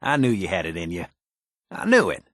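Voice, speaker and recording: An actor playing a folksy, wisecracking American tinkerer in a video game, talking in casual slang. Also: male voice, deep voice, wildly swooping pitch, brisk, fairly bright tone, no room sound, studio quality, full character voice. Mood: elated, grateful. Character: folksy, wisecracking male tinkerer and scrappy settler ally.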